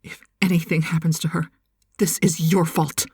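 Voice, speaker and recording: A woman's voice: low voice, level tone, moderate pace, darkish tone, husky, no echo, studio quality. An actress playing a distraught, angry woman in an audio drama, blaming and demanding. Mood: angry, accusing.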